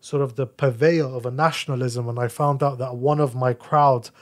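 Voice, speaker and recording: A male podcast guest with a mid-pitched voice, talking casually and bluntly. He argues his point with animated emphasis and offhand asides. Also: normal speed. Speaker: podcast guest, article writer. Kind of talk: casual opinionated discussion.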